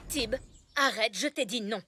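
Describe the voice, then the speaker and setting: A woman's voice: a little high, a little slow, bright, forceful tone, slight background noise. A woman voicing a strict, protective mother in a cartoon, speaking firmly. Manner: firm, scolding.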